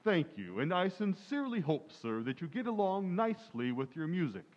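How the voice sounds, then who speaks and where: An obliging, formal store clerk in an old-time radio comedy, played by a man. His fairly high voice moves up and down in a lively way. The recording sounds slightly dull. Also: moderate pace. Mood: courteous, obliging. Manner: formal, deferential salesman patter. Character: polite, obliging music store salesman. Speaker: amateur actor in a community playhouse radio recreation.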